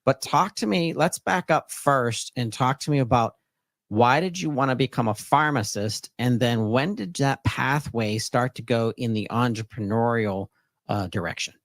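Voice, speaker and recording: A man's voice: mid-range voice, moderate pace, no echo. Man hosting a podcast, dry close sound. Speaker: podcast host. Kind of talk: podcast introduction and interview.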